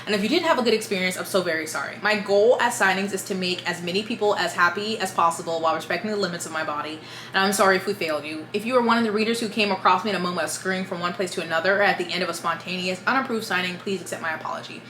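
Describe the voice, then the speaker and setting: Book channel host, woman, reads a statement aloud at a quick clip, roomy echo. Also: fairly low pitch, a little hiss or hum. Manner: brisk, steady read-aloud delivery. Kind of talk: reading a written statement aloud.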